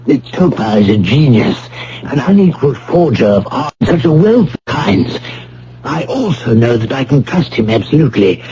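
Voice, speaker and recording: A man's voice: mid-range voice, very animated, noisy background, natural voice. Man playing a suave, menacing criminal mastermind on muffled radio. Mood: smooth, controlling. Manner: formal, deliberate, politely threatening. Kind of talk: vintage radio drama acting. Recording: muffled, humming old radio broadcast recording.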